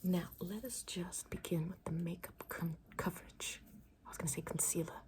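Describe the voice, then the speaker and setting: A woman doing an improvised ASMR makeover roleplay, mixing soft breathy whispers with murmured speech in a playful, rambling, soothing way. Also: lowish voice, steady tone, normal speed.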